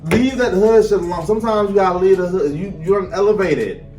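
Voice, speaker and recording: Cussing podcast dude, blunt, kinda echoey and far from the mic, faint music. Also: male voice, high-pitched, brisk, a little mellow. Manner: blunt, profane, emphatic. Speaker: podcast co-host. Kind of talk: casual chat.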